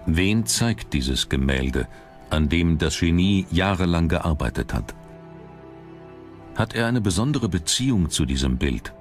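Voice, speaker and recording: Very deep-voiced male documentary narrator over music. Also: very animated, a little slow.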